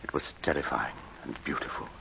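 An actor playing a serious, guarded male lawyer, speaking in a measured and earnest way in 1940s radio acting style. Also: sharp tone, close to an everyday voice. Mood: reflective. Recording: dull old radio broadcast, faint hiss.

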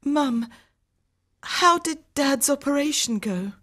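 A woman acting out an anxious young woman in a dramatised audiobook. Her voice is extremely high and she speaks slowly, with a pleading, emotional edge. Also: expressive, fairly bright tone, heavily acted. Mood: weak, anxious. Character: frightened, anxious young mother-to-be in danger.

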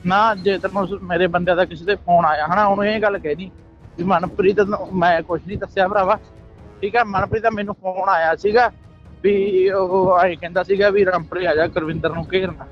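A man's voice: sits high, fairly even intonation, brisk. A man with a Malwai Punjabi accent talking casually on a recorded phone call, giving insistent, repeated instructions. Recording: dull lo-fi recorded phone call, faint music.

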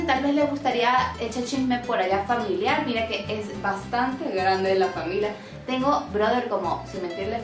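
Lively Venezuelan woman in her twenties. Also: a little high, echoey room. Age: 20s. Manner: animated, joking, gestures a lot. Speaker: vlogger. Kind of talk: casual vlog chat. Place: small room at home, indoors.

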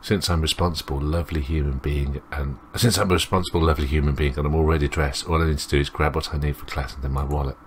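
A man's voice: a bass voice, steady tone, fast, few pauses, a little hiss or hum. A man reading a visual novel aloud as a let's play host, rambling and interrupting himself with commentary.

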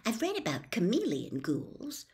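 A woman's voice: medium pitch, wildly swooping pitch, unhurried, a little mellow, slight background noise, voice pushed up. An older woman voicing an earnest, bossy, clever schoolgirl as she reads a storybook. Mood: speculating.

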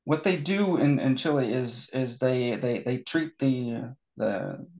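A man with an American accent and a gravelly, creaky voice, explaining things in a webinar interview. He stops and restarts often, filling the gaps with ums. The audio is dull and lo-fi. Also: mid-range voice, darkish tone. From born in Seattle, raised in Norway. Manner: halting, many restarts and fillers. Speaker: guest expert.

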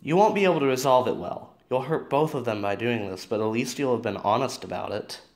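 A man's voice: mid-range voice, lively intonation, a little fast, lightly acted. A man playing a dry, principled teenage boyfriend in an amateur audio drama. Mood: stern.

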